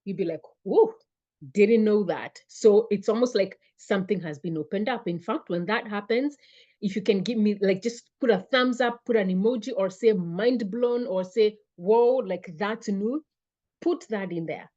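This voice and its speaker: A woman hosting a live webinar, warmly greeting viewers by name and repeating her phrases. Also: medium pitch, moderate pace, muffled recording. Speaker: webinar host and fitness coach. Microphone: computer mic on a video call.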